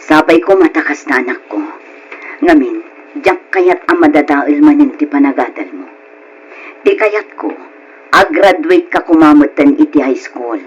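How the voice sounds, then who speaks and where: A performer voicing a caring, gently scolding mother with an Ilocano accent in a radio drama, a woman. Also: low-pitched, unhurried, muffled recording, slight background noise. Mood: tender, pleading. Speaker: drama voice actor.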